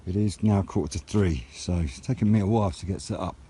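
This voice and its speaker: A man's voice: low-pitched, fast talker, mellow, breathy edge. A man narrating a casual vlog in a hesitant, unscripted way. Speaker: vlogger and CB radio operator.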